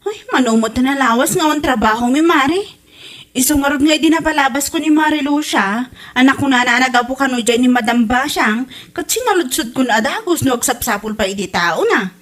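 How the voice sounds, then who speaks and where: A woman's voice: high voice, a little fast, a bit bright. A woman with an Ilocano Filipino accent acting an eager, chatty village wife looking for work in a comedy audio drama. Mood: grateful.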